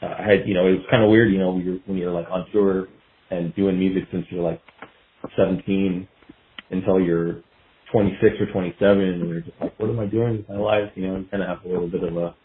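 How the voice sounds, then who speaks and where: A man with a low voice talks casually as an interview guest. He rambles reflectively in a halting, loose way. The sound is thin and phone-like, with a roomy echo around him. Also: steady tone, slight background noise. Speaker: guest musician, guitarist. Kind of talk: casual podcast interview answers.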